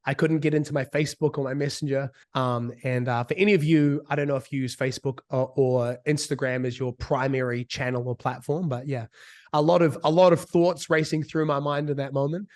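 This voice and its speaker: Husky New Zealand man in his thirties. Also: mid-range voice, steady tone, darkish tone. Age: 30s. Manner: speaks slowly and deliberately. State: slightly sick, husky. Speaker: business coach. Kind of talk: casual talk as a guest.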